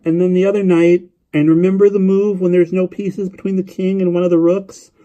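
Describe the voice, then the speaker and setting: A man voicing a lonely, eager young boy on the phone in an audiobook story, guileless and rambling, with a childlike high-pitched voice. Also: level tone, fairly quick, mellow, dull audio, lightly acted. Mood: wistful, rambling. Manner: guileless, rambling, repetitive, full of questions.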